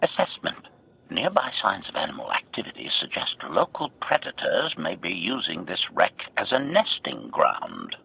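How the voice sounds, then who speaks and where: A man's voice: deep voice, huge pitch swings, moderate pace, creaky, sharp tone, husky, heavily acted. A man voicing a formal, coldly analytical assassin droid with stiff, literal delivery and a robotic filter in a sci-fi game. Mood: clinical. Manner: announces statement type, stiff and literal.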